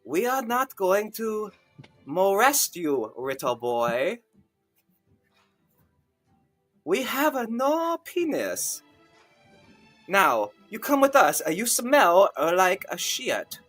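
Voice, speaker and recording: Man doing a slow, blunt giant elf eunuch. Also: high-pitched, big pitch swings, fairly bright tone, faint music behind, voice pushed up, heavily acted. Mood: blunt, stolid. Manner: broken English, deliberate halting delivery. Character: huge male elven eunuch guard, blunt and stolid.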